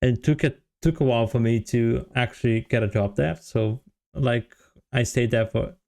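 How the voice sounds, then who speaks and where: A man's voice: medium pitch, normal speed, gravelly, a little mellow. A man in his thirties from Brazil, with a Brazilian Portuguese accent, casually telling his story to camera with many fillers and restarts. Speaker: vlogger, software developer.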